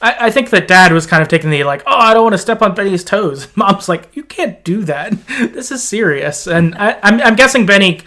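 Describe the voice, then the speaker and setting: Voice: mid-range voice, lively intonation, brisk, hardly any pauses, pushed and bright. A podcast host chatting casually, retelling a video game's story in loose paraphrase and hedged, informal phrasing.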